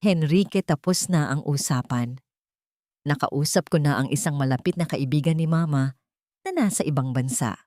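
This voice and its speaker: A composed wronged wife from an audio story, voiced by a woman narrator, measured and quietly guarded. Her voice sits fairly low, in a dry, close recording. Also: dry recording, lightly acted. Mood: calm, persuasive. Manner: calm, measured. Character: calm, composed wronged wife secretly plotting.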